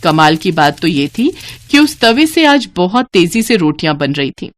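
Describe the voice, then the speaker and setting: A woman narrator reading a scripted bedtime tale in an expressive, dramatic storytelling style. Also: lowish voice, normal speed.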